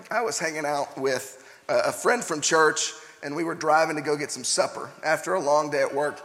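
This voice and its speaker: Hoarse young man preaching in a hall. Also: a little high, a bit bright. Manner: chatty, frequent "uh" fillers. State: hoarse, partly lost voice. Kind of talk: casual sermon with personal story.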